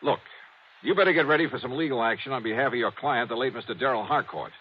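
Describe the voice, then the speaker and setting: An actor with an American accent playing a shrewd, skeptical insurance investigator in a 1950s radio mystery, clipped and wry. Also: male voice, mid-range voice, quick pace, lightly acted. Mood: puzzled, resolved. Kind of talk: 1950s radio detective acting and narration. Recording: dull vintage radio recording, faint noise.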